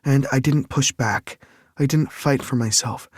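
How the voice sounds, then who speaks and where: Picture an upset, self-doubting young woman, her words coming out heated and emphatic, as a male narrator puts her on. The voice sits noticeably higher than a low, husky baseline. It is recorded close and dry, with no room sound. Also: a little slow, no echo, lightly acted. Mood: upset, self-critical. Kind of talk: audiobook character dialogue.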